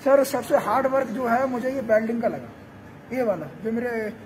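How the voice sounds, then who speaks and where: A man's voice: very high voice, normal speed, slight background noise. A young man working as a welding foreman, explaining safety gear earnestly in an on-site interview in a large workshop shed. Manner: earnest, explains while holding up his gear.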